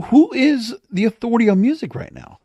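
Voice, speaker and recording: A man's voice: very high-pitched, animated, brisk, warm tone, no room sound. A man hosting a podcast, giving casual solo commentary in an emphatic way, full of rhetorical questions. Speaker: podcast host.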